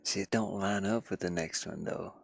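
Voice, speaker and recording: A man's voice: sits low, fairly even intonation, moderate pace, gravelly, breathy edge, dry recording. A man from the United States chatting casually on a live game stream, rambling and muttering to himself as he works.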